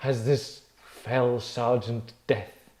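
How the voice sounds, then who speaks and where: A man playing a grave, dying tragic prince in a Shakespeare table read, declaiming verse slowly and weightily. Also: medium pitch, little pitch movement, a little mellow, echoey room.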